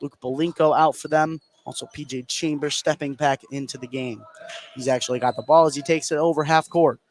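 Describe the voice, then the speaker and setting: A man's voice: fairly high pitch, fairly even intonation, fairly quick. A man calling live radio basketball play-by-play in a running narration that follows the action. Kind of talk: live radio sports commentary.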